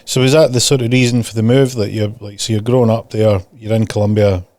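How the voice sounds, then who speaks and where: A man's voice: on the low side, level tone, quick pace, darkish tone, no room sound. A man hosting a podcast, introducing and questioning a guest in a conversational interview style. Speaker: podcast host.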